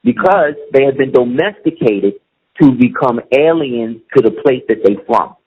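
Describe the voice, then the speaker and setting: Emphatic man with a husky voice. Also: mid-range voice, animated, normal speed. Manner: emphatic, keeps asking "you see what I'm saying". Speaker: guest. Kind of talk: casual conversation. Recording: muffled lo-fi audio.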